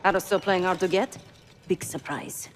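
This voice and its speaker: Casting: actress as brusque cynical female operative, slightly low register, impatient game drama delivery, faint background noise. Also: steady tone, moderate pace, lightly acted. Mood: impatient, dry. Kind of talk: cinematic video game drama acting.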